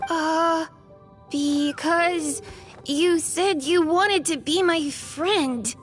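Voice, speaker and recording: A woman's voice: very high voice, drawn-out words, faint music behind, full character voice. A woman voicing a small, young, innocent dragon in a video game, with a childlike voice and a hesitant, earnest manner. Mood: hesitant, unsure.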